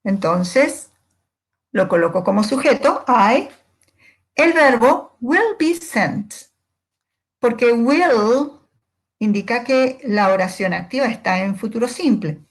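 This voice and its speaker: A woman who is a native Spanish speaker, explaining grammar as a language teacher in a deliberate, methodical, didactic manner. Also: on the high side, expressive. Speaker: English teacher.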